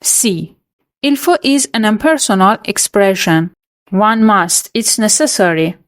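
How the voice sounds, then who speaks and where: A woman reads out quiz questions and answer options at a very slow, unhurried pace. Her voice sits at an ordinary middle pitch. She delivers each choice and explanation in a steady, scripted way, as a teacher quizzing learners. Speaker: French teacher.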